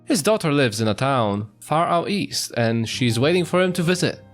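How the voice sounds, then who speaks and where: A man narrates a visual novel in a very animated, up-and-down delivery over background music. He reads the story casually and slips into jokey, mock-apologetic asides. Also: fairly high pitch, moderate pace. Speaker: host reading a visual novel aloud for a video. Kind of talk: casual let's-play narration, reading story text aloud with joking asides. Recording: music behind the voice.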